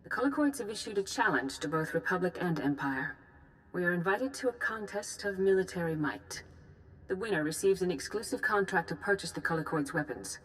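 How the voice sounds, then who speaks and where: Casting: composed female Jedi leader, deep register, formal and grave, measured video game briefing delivery. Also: animated, fairly bright tone, rough recording. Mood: grave, urgent. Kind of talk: scripted video game dialogue.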